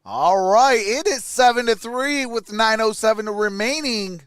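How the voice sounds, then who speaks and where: A man with a high, clean voice calls a game live and chats casually between plays. He is recorded dry and close, as a streamer. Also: measured pace, fairly bright tone, clear, resonant tone, dry recording. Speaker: livestream host and play-by-play commentator. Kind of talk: live game commentary and casual chat with viewers.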